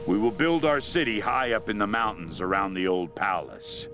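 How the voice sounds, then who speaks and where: A man's voice: on the low side, fairly bright tone, faint music behind. An actor playing a fanatical, mad cult leader obsessed with a queen, delivering a brooding, menacing diary monologue for a video game. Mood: calculating, intense. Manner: slow, brooding, menacing, intense.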